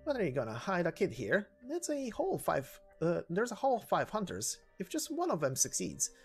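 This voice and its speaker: A woman's voice: on the low side, huge pitch swings, brisk, a little mellow, soft background music. A woman voicing a brash, swaggering young female knight in a game story, cocky, slangy and emphatic.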